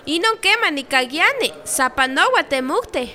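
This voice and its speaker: An outspoken village woman in a radio play. Her very high voice has a bright, sharp edge. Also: very animated, a little slow, exaggerated voice. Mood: lively. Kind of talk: radio drama acting.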